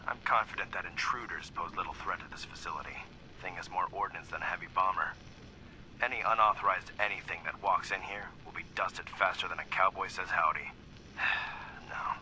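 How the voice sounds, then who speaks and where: An actor playing a gruff, cynical, weary security captain in a video game audio log, blunt, sardonic and folksy. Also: male voice, low voice, bright, forceful tone, a little hiss or hum, poor audio.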